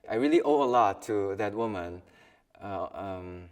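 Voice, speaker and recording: A young man in his twenties from South Korea, a violin student, speaking thoughtfully with pauses in an interview. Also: fairly low pitch, animated, in a large hall, distant mic. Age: 20s. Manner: thoughtful, soft-spoken with pauses and small laughs. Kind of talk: personal documentary interview.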